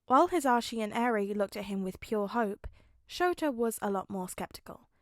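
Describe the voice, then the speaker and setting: A woman's voice: mid-range voice, expressive, normal speed, no room sound, studio quality. A woman narrating a scripted story as an audio fiction reader.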